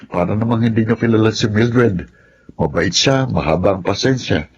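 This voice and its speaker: Muffled man acting earnest, low-voiced son. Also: moderate pace, creaky. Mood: earnest, disapproving. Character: earnest, protective grown son. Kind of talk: Filipino radio-style melodrama acting. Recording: dull lo-fi audio, faint noise, clicks.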